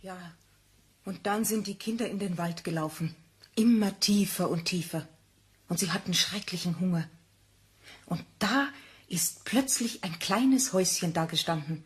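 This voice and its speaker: An actress playing a mother telling a cautionary tale to her child in a German children's radio play, with husky voice and simple, didactic phrasing. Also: on the low side, a little slow. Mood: storytelling, instructive.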